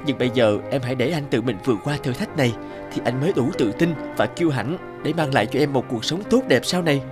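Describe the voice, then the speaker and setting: A man voicing a hardworking, determined young peasant farmer in a cartoon, earnest and expressive. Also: medium pitch, fast talker, over music, close to an everyday voice. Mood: tender resolve. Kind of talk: cartoon dialogue acting.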